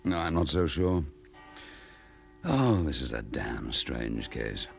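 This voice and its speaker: An actor playing a dogged, weary English police chief inspector and protective husband in a radio drama. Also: male voice, extremely low pitch, big pitch swings, slow, creaky, faint music behind, full character voice. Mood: weary, frustrated.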